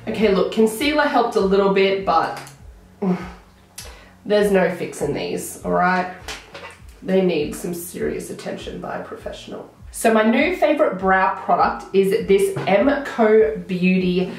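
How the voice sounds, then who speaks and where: A young woman with a croaky voice, chatting enthusiastically through a makeup tutorial to a close camera in a small room. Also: medium pitch, expressive, a little slow, faint music behind. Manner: chatty, enthusiastic product talk. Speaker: beauty vlogger. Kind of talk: casual makeup tutorial chat. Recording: very echoey room.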